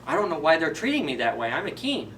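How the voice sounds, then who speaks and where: Man acting out a small, vain storybook fir tree, bright-voiced. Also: on the high side, big pitch swings, fast talker, distant mic, a little hiss or hum. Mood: hurt. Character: small, vain, naive young fir tree, easily wounded.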